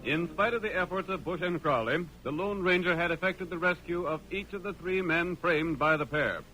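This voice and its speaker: A man's voice: high voice, moderate pace, music in the background, poor audio. A man narrating a western adventure in the style of a 1940s radio drama.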